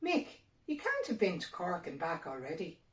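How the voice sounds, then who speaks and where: Farmer's wife, voiced by a woman reader: sharp-tongued, sceptical, storybook style, set back in a roomy recording. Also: lowish voice, wildly swooping pitch, normal speed, recorded from a distance. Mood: scolding.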